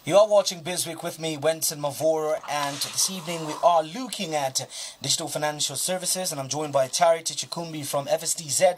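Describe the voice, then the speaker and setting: A man's voice: on the high side, slight background noise. A man with a Zambian accent hosting a television business show in a formal, wordy manner. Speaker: TV presenter. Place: TV studio.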